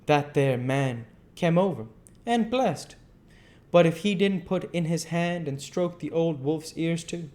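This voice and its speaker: A man's voice: on the high side, animated, unhurried, darkish tone. A man reading a classic novel aloud as an amateur narrator, stumbling and repeating phrases as he goes. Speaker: amateur audiobook reader.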